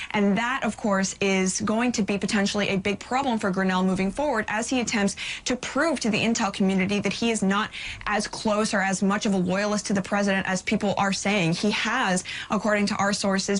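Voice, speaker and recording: A woman with a mid-pitched voice delivers broadcast news analysis. She is formal, articulate and measured, in the manner of a correspondent.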